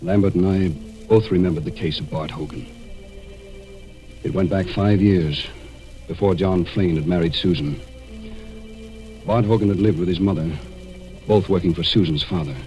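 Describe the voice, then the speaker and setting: Casting: male actor as deep-voiced American crime-drama lead, wounded but determined, dramatic 1940s radio delivery, music behind. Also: darkish tone, lightly acted, low-quality recording. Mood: grave narration. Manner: dramatic, halting in dialogue. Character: wounded, determined man telling of a murder hunt. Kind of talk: 1940s radio acting, first-person narration and dialogue.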